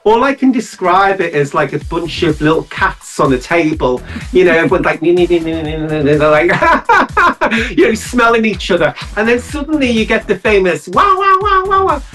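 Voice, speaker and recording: Gushing, animated drag queen, a man. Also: high voice, normal speed, soft background music. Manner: gushing, animated storyteller who laughs often. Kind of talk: chatty interview.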